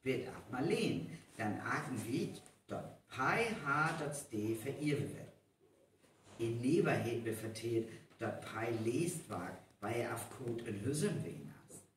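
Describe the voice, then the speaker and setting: A man with a North Frisian accent playing a hesitant village man mulling over gossip in a live radio play, halting and deliberate. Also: mid-range voice, expressive. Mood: pondering. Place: live stage performance venue. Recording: echoey live hall, distant mic, faint noise.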